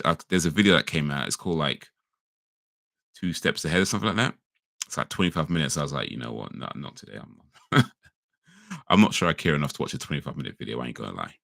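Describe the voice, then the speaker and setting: A man talks casually on a livestream in a very deep, gravelly voice. He rambles and corrects himself with laid-back slang, his throat a little crackly. The sound is close and dry, with no room around it. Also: fairly bright tone, no echo. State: slightly crackly voice. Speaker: livestream host. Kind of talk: casual chat.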